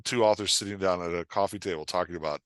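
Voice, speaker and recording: A man's voice: sits low, fast, dry recording. A man talking casually as a podcast guest and writing coach, rambling as he explains, peppering it with "like" and imagined quotes. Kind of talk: casual conversation, explaining and joking.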